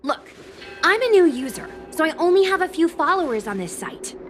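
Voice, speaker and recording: Cheeky, lively high-voiced girl. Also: normal speed, soft background music. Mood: confident explaining. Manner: animated, breezy, persuasive. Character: cheeky, chatty, confident young streetwise girl influencer. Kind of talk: anime game dialogue acting.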